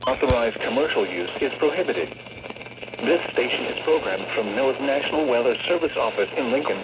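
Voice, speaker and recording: A man's voice: medium pitch, expressive, fairly quick. A synthetic text-to-speech man reading scripted weather forecasts as an automated radio announcer, in a stilted delivery. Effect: synthetic voice over weather radio. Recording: muffled, noisy weather radio speaker.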